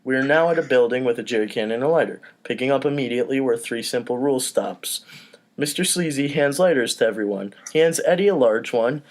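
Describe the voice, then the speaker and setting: A man's voice: mid-range voice, a little fast. A man narrating stage directions in an informal comedy table read. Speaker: podcast performer reading stage directions.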